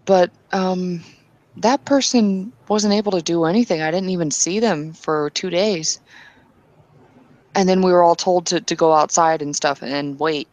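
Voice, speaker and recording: A woman playing Bettina, an earnest and devout kinfolk woman, arguing insistently with halting restarts in a tabletop roleplay. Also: fairly low pitch, a little mellow, no room sound, lightly acted. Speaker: player character.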